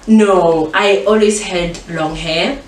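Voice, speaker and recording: A woman's voice: lowish voice, slow pace, recorded from a distance. A young adult woman with a South African accent, chatting casually as a guest in an interview. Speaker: interview guest, pageant finalist. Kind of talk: casual chat. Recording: roomy echo, off-mic, faint noise.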